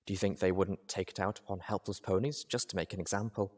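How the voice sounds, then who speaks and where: A man voicing a poised, cool-headed female pony in a story reading, speaking in a measured and gently reproving way. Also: deep voice, steady tone, slightly rough.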